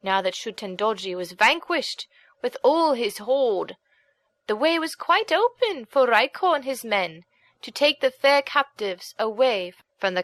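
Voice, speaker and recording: A woman reading a folk tale as an audiobook narrator, in a measured, expressive, dramatic storytelling manner. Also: on the high side, no echo.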